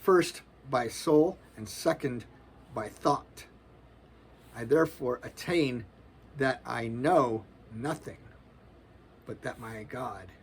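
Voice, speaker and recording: Forties male vlogger, gravelly, casual spiritual musings, slangy and earnest. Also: medium pitch, slight background noise. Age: 40s. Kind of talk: rambling spiritual monologue.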